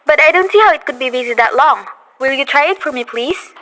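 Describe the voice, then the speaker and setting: Girl playing a fretful invalid wife, high voice, insistent and anxious. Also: lively intonation, fairly quick, noisy background. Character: nervous bedridden wife, alone at night. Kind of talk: student radio play.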